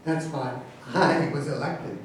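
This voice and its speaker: High-pitched blustering president acted by a man, very echoey. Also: slow pace. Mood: proud, insistent. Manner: emphatic, boastful, dismissive. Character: blustering, defensive male president proud of absurd ideas. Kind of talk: staged reading of a satirical play. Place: echoey room, far from the microphone. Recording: very echoey, distant, noisy hall recording.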